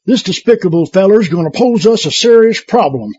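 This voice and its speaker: High, folksy adviser. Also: male voice, expressive, brisk, darkish tone. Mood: exasperated, urgent. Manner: folksy, exasperated drawl. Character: folksy, exasperated male political adviser. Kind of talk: comedy audio drama. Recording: dull lo-fi, faint music behind.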